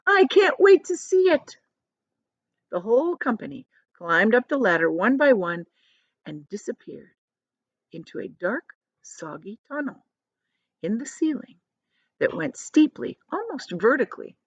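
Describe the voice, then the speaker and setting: A woman's voice: medium pitch, big pitch swings, unhurried, slightly rough, dry recording, dull audio. A woman reading a story aloud to children like a teacher, expressively, with simple explanatory asides.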